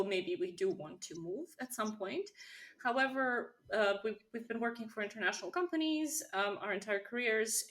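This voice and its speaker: A woman speaks as a guest in an interview. Her voice sits at an average pitch. She talks candidly and informally, as if telling her story in conversation. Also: a bit bright. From Serbia. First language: Serbian. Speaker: interview guest, business co-founder.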